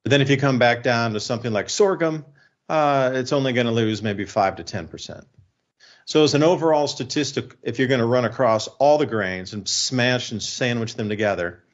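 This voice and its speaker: A man hosts a talk-radio show, speaking off the cuff in an informal way. His voice sits at an average pitch, and the audio is a little dull and lo-fi. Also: fairly quick.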